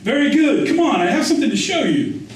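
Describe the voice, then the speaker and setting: A man's voice: very high voice, sing-song, quick pace, voice pushed up. A man reading aloud as an insistent North African street boy, coaxing in short, clipped phrases. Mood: eager, coaxing. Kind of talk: acted quote within a literary reading. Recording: echoey room, distant mic, faint noise.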